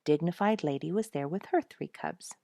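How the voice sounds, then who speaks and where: Female narrator, lower register, expressive children's storytelling, very dry recording. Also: normal speed, no echo. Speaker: audiobook narrator.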